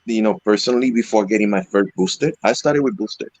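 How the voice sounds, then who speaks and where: Low-voiced Venezuelan-raised man, halting delivery. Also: a little mellow, no echo. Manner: halting, frequent "you know" fillers. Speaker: interview guest, electric skateboard rider. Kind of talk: casual video call interview.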